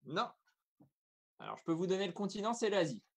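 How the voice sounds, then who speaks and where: A woman's voice: medium pitch, big pitch swings, fairly quick. A woman hosting a guessing game in a casual, chatty way. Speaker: quiz host on a youth radio-style talk show.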